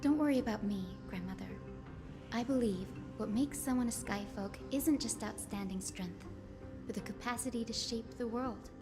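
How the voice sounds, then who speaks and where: High, gentle young heroine voiced by a woman. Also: close to an everyday voice. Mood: resolute, hopeful. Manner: earnest, tender, poetic. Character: gentle, hopeful young heroine of a sky people. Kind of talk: dramatic video game voice acting. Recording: music under the voice.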